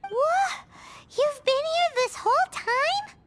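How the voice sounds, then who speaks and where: A woman voicing a tiny, excitable female fairy companion in a video game, chirpy and exclamatory, calling herself by name. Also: very high-pitched, slow, exaggerated voice. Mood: surprised. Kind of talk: video game dub acting.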